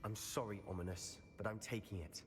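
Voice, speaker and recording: A man's voice: fairly low pitch, steady tone, fairly quick, lightly acted. A young man playing a determined, stubborn teenage schoolboy wizard in a video game, earnest and insistent. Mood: defiant. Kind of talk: dramatic video game voice acting. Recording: long reverb, music underneath.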